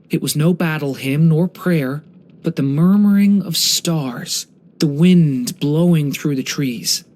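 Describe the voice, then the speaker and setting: A man voicing a young dragon boy in human form for a video game, speaking gently, politely and earnestly, like someone telling a story. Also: on the high side. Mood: soft storytelling. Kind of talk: English video game character voice lines.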